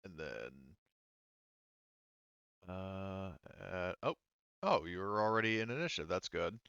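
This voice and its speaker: A man working as game master, talking casually through tabletop rules in a halting way, thinking aloud. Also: low-pitched, animated, fairly bright tone, clean tone, dry recording, lo-fi sound.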